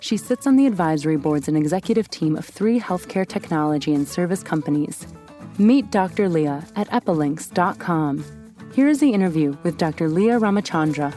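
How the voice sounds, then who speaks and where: A woman's voice: on the low side, animated, normal speed, dark tone, soft background music. A woman reading a formal scripted introduction of a guest. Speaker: narrator of introduction. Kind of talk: scripted narration.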